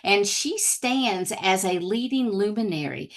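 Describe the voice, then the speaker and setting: A woman's voice: medium pitch, expressive, unhurried. Gushing woman host introducing a guest. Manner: gushing, with chatty fillers. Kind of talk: introducing a guest in an online talk.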